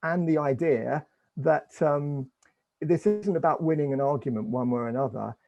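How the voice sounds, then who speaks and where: Gravelly, hesitant English church leader. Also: male voice, on the high side, moderate pace, darkish tone, muffled recording. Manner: hesitant, many fillers and restarts. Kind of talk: panel discussion answer.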